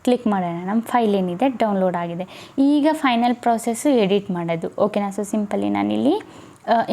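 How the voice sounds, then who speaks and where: A woman's voice: a little high, mellow. A woman giving a casual step-by-step tutorial, often checking in with her listeners. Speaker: tutorial host.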